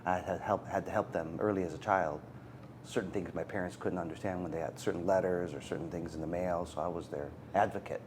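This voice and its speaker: A middle-aged man, a physician guest, answering interview questions in an earnest, halting way with many fillers. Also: low voice, steady tone, background noise. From United States. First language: Macedonian.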